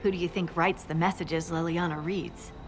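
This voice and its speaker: An actress playing a wry, friendly young scout in a fantasy game, speaking in a conversational, teasing way. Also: female voice, lowish voice, steady tone, normal speed, noisy background, close to an everyday voice. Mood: reflective, wry. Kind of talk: naturalistic fantasy video game acting.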